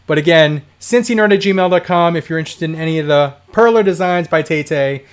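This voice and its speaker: A man hosting a casual livestream from home, chatting and reading out viewer comments, with a voice going hoarse from a dry throat. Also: fairly high pitch, a little fast. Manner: chatty, rambling, laughs often. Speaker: livestream host.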